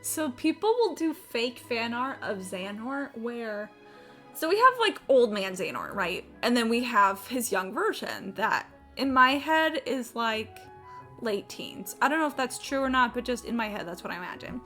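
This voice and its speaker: A woman's voice: on the high side, big pitch swings, normal speed, sharp tone, faint music behind. A woman livestreaming a game with casual, blunt, sweary, opinionated commentary.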